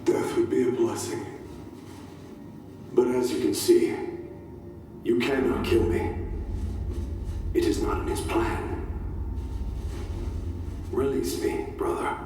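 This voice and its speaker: A man's voice: medium pitch, fairly even intonation, takes it slowly, long pauses, lightly acted. A man playing a fanatical, unrepentant captive soldier in a game audio log, speaking in a grave, preaching manner. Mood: defiant, solemn. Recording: echoing hall, distant mic, faint noise.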